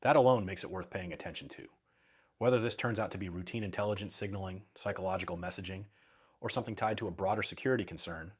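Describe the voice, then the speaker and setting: Male narrator, deep pitch, slightly rough husky tone, scripted explainer, measured and deliberate, emphatic short phrases. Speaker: narrator of an intelligence and radio technology explainer.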